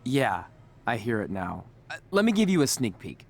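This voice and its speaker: An actor playing a cocky but awkward young wrestler in casual, goofy video game banter. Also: male voice, medium pitch, big pitch swings, moderate pace.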